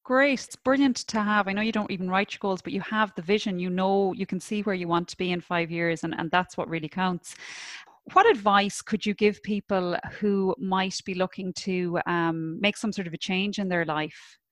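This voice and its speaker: A woman's voice: medium pitch, steady tone, normal speed, dry recording. A woman hosting an interview, asking questions and giving encouraging praise. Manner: encouraging, affirming, frequent praise. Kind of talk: interview host.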